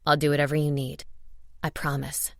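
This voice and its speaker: A gentle, devoted man speaks softly and reassuringly as a woman narrator puts him on. The pitch is dropped well below a usual female voice, and the sound is very dry. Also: monotone, normal speed, creaky, dry recording. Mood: gentle, serious. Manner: soft-spoken, brief, reassuring. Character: gentle, devoted man, romance love interest. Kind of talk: audiobook character dialogue.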